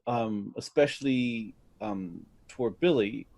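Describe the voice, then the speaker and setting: A middle-aged man talking casually as an actor being interviewed, telling stories and often pausing to search for words. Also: mid-range voice, creaky, a little mellow, no echo.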